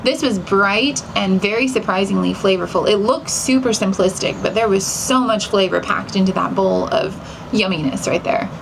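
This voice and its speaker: A woman gives a casual, upbeat recap as a vlog co-host. Her voice has noticeable creak and fry. Clear background noise and ambience sit behind her. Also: mid-range voice, moderate pace. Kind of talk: casual chat recapping the day.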